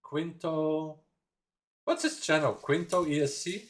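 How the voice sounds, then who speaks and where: A man's voice: a little high, lively intonation, slow. Chatty Dutch man rambling on a livestream. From Netherlands. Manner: rambling, chatty, laughs often, reads chat aloud. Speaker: livestream reaction host. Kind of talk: casual livestream chat.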